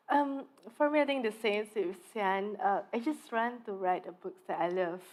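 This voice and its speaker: A woman talks casually as an author on a festival panel. Her voice sits at a middle pitch, and she is self-deprecating, dropping little laughs between thoughts. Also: a little slow, a little mellow. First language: Indonesian.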